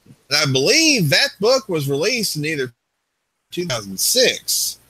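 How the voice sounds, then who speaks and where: A man's voice: fairly high pitch, very animated, unhurried, bright, forceful tone, slightly rough, dry recording, rough recording. A man in his forties co-hosting an American talk show, emphatic, often repeating and restarting his words. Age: 40s. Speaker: co-host. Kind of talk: casual chat.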